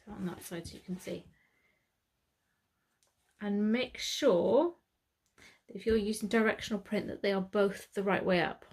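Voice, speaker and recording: A woman's voice: medium pitch, a little slow, pauses often, darkish tone, breathy edge. A British woman giving a step-by-step sewing tutorial, chatting casually and correcting herself as she works. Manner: chatty, self-correcting, thinking aloud.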